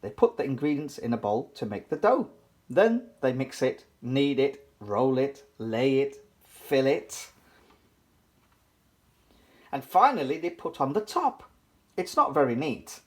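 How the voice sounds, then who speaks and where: Animated, playful children's storybook reader. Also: mid-range voice, a little slow, long pauses. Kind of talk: animated picture-book read-aloud for toddlers.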